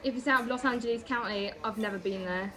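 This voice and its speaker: Female radio-drama waitress, acted, highish voice, saucy, snappy and flirtatious. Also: fast talker, pushed and bright, rough recording. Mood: sassy. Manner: pert, snappy comebacks. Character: sassy, flirtatious female diner waitress, quick to bristle. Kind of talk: 1950s radio detective drama acting.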